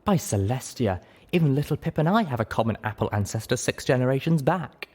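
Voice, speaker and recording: A man's voice: mid-range voice, expressive, brisk, darkish tone, slightly rough. Guy reading as annoyed pony lady, huffy, scolding, audiobook character. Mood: argumentative. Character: two arguing adult pony mares, exasperated, scolding.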